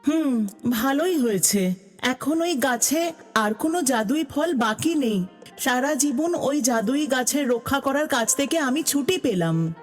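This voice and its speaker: A woman as a high-voiced talking unicorn, echoing. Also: expressive, measured pace. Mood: reassuring. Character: proud female talking unicorn, gentle magical creature. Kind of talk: cartoon fairy tale dialogue. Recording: long reverb, music behind the voice.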